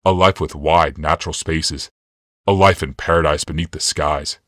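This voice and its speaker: A man performs a grave male ruler from a fantasy audiobook. The voice is extremely low and dry. He is commanding and reserved, with a solemn, measured delivery. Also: steady tone, fairly bright tone, dry recording. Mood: solemn, visionary.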